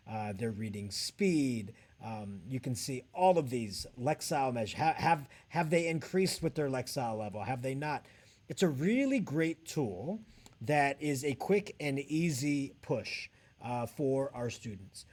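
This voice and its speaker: Man walking through a software tutorial. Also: mid-range voice. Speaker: edtech trainer and presenter. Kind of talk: software tutorial screencast, explaining step by step.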